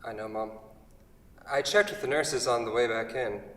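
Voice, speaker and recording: A man's voice: moderate pace, fairly bright tone, natural voice. A man playing an exasperated college-student son who reasons and placates, in a staged play reading. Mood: placating. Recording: very echoey, rumbly hall from far off.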